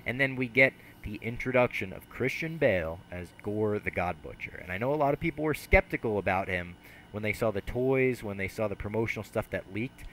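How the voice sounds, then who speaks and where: Young podcast host, low bright voice, laughs and swears easily, headset mic. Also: male voice, lively intonation, a little fast, a little hiss or hum, low-quality recording. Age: young adult. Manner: laughs often, swears casually. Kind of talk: casual podcast chat. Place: small room with brick wall. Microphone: headset mic on video call.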